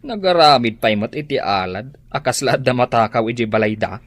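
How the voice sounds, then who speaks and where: A man's voice: mid-range voice, expressive, normal speed, a bit bright. A man with an Ilocano Filipino accent playing a sly, scheming husband in a comedic radio drama. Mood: suspicious.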